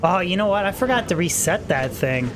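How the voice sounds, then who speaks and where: A man's voice: sits high, animated, fairly quick. A man livestreaming a game with casual, rambling, slangy commentary, self-deprecating, his voice a little tired. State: voice tiring. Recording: clearly noisy background.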